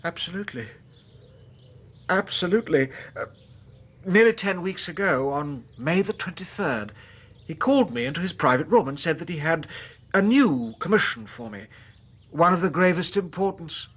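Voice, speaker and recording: An actor playing an earnest, anguished young British gentleman of the Foreign Office, an invalid, in a radio drama. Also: fairly high pitch, lively intonation, moderate pace, breathy edge. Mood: earnest, recollecting. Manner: earnest, troubled.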